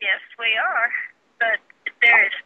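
A woman's voice: a little high, takes it slowly. Female store clerk on the phone, plain-spoken, explaining, thin telephone sound with faint noise. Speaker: store employee taking a phone call. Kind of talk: customer service phone talk. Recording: tinny noisy phone line.

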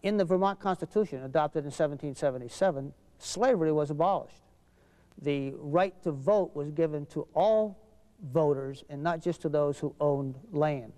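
An older man, a state representative, speaking in an interview thoughtfully with halting fillers in an ornate chamber. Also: a little high, dark tone, long reverb tail.

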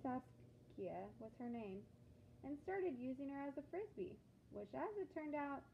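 A woman with a fairly high voice reads a picture book aloud to children, expressively and with deliberate phrasing. Her voice has a clear creak, and she pauses to put questions to her young listeners. The sound is thin and phone-like, with some background noise. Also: sing-song. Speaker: teacher reading aloud to children.

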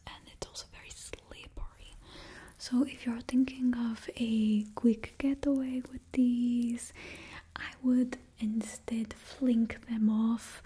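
Young woman, faked Russian accent, soft close whisper, very airy and mellow, unhurried. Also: a little high, husky. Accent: put-on Russian. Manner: close, slow whispering with drawn-out words. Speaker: ASMR roleplay stylist. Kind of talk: ASMR whispered roleplay. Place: indoors before a studio backdrop.

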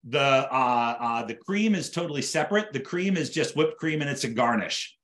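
A man's voice: medium pitch, lively intonation, moderate pace, a bit bright. A man in his fifties giving a conversational live cooking demonstration from a home kitchen, explaining things by repeating and rephrasing. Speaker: chef teaching an online cooking class.

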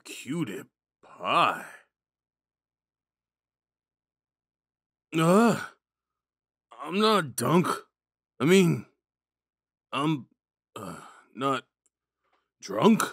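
Man acting a slow-talking drunk himbo jock. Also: mid-range voice, fairly bright tone, no room sound, clean studio sound, exaggerated voice. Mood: tipsy, flirty.

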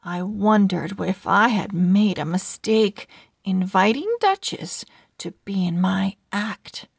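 A woman reading a children's storybook aloud in a careful, deliberate and expressive narrating style. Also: medium pitch, vocal fry, husky, dry recording, studio quality. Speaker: children's book read-aloud reader. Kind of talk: slow expressive storybook narration for early readers.

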